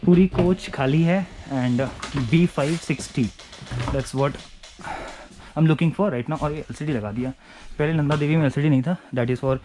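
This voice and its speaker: Young male travel vlogger, slightly high voice, casual and chatty, handheld camera on a busy railway platform with steady background noise. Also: takes it slowly. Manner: chatty, mixes Hindi with English phrases. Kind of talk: casual vlog narration to camera. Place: railway platform and train coach, in and out of doors. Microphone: handheld action camera at arm's length. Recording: noisy station ambience.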